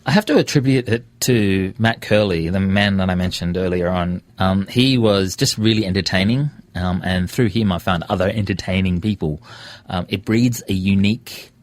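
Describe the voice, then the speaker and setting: A man's voice: deep voice, moderate pace, dry recording. An Australian man talking conversationally as a radio presenter and podcaster being interviewed in a studio.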